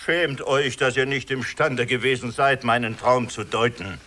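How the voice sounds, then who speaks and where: A man's voice: mid-range voice, steady tone, normal speed, bright, forceful tone, slight background noise, natural voice. A man playing an outspoken, exclamatory townsman in a children's radio drama. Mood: scolding. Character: outspoken, exclamatory good-hearted townsman Michail.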